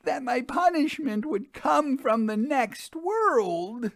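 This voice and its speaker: A man's voice: very high voice, sing-song, slow pace, creaky, voice pushed up, exaggerated voice. A man voicing a brash, bossy, indignant widow with a demanding, sputtering manner, in audiobook character acting. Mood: rattled, pleading.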